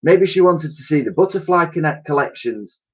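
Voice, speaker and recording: A fretful, none-too-bright lady from a children's storybook, played by a man. The voice is pitched up, plaintive and slightly husky. It sounds a bit dull and lo-fi. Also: animated, normal speed. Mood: puzzled. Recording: dull lo-fi home recording.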